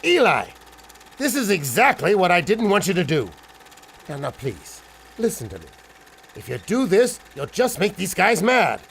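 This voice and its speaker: Creaky, fretful old studio man. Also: high-pitched, big pitch swings, normal speed, fairly bright tone, slight background noise, exaggerated voice. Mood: worried, pleading. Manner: fretful, pleading, Yiddish-flavoured slang. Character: anxious, fatherly older male film studio manager. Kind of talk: old-time adventure serial acting.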